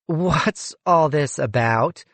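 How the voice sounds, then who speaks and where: A man's voice: a little high, slow, fairly bright tone, dry recording, pitched above the performer's own voice. A man voicing a curt, sneering fundraising chief woman. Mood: curt irritable. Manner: blunt, sneering.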